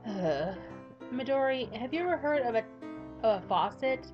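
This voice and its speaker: A playful woman reading a game story aloud and chatting between passages, her voice fairly high and very lively. She jokes at her own expense. The recording is slightly muffled, with faint music running beneath. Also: normal speed. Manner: playful, giggly, self-mocking. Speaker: YouTuber. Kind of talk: casual game commentary, reading a visual novel aloud.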